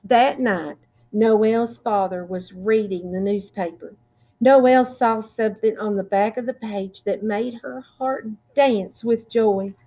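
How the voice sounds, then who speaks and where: A woman reading a children's picture book aloud in a deliberate, expressive way, drawing out words. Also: mid-range voice. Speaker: children's storytime reader.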